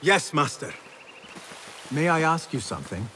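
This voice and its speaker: An actor playing an earnest, deferential young assassin apprentice in a video game cutscene. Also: male voice, fairly high pitch, sing-song, measured pace, bright, forceful tone, soft background music, full character voice. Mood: respectful, curious.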